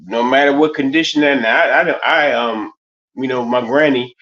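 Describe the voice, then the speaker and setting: A man, talking through a casual stream monologue. His voice sits at an average pitch and wanders through stories with restarts and fillers. The audio is a little dull and lo-fi. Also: normal speed, fairly bright tone. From United States. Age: 50s. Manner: rambling, loose, many restarts and fillers. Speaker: stream host.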